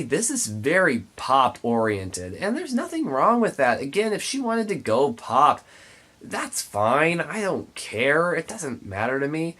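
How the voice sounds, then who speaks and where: Congested guy doing a music review, kinda nasally, pitch goes up and down a lot, pretty opinionated. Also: a bit bright. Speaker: music reviewer, show host. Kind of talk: spoken album review to camera.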